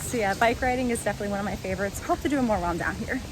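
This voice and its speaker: A woman's voice: mid-range voice, very fast. A woman in her twenties from New York, chatting upbeat to viewers while walking through a theme park. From Queens, New York. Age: 20s. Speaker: vlogger. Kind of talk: casual vlog chat. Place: outdoors at a busy theme park. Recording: outdoor crowd noise and park music.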